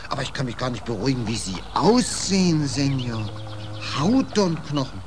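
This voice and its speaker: An actor playing an astonished, fussy port acquaintance in a 1940s German radio play, exclaiming and repeating himself. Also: male voice, medium pitch, big pitch swings, moderate pace, lightly acted. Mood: excitable, concerned. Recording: faintly noisy vintage radio recording.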